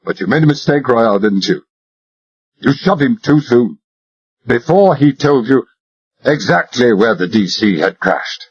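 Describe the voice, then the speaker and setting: A man voicing a ruthless male interrogator who speaks quietly and menacingly, on a dull lo-fi recording. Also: mid-range voice, lively intonation, a little slow, pauses often, breathy edge.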